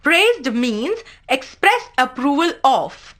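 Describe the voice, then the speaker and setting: Bright, cheerful woman teaching kids. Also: sits high, big pitch swings, takes it slowly. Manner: cheerful, instructive. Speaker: children's presenter.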